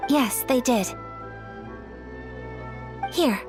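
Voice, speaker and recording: A woman playing a gentle, curious young noblewoman in a video game, speaking in a formal, courteous, measured way. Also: high voice. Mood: polite. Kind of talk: English video game voice acting. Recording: music behind the voice.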